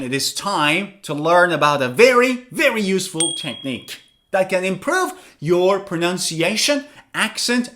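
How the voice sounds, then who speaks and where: A man's voice: sits high, pushed and bright. A man teaching an English pronunciation lesson, asking questions and answering them himself.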